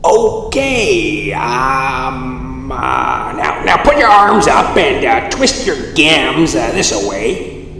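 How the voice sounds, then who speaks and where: A man voicing a bossy, crotchety old woman, a retired circus clown who rambles out halting commands. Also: mid-range voice, big pitch swings, slight background noise, exaggerated voice. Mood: bossy, rambling. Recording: echoey reverb with loud music underneath.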